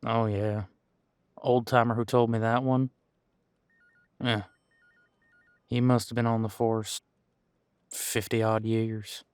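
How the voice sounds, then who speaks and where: A man's voice: fairly low pitch, flat delivery, slow pace, pauses often, clean tone, no echo, clean studio sound. A man playing a friendly, long-serving supernatural squad cop in an audio roleplay, chatting in an easygoing, folksy, slightly hesitant way. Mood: folksy, reminiscing. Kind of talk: conversational audio roleplay to an unseen partner.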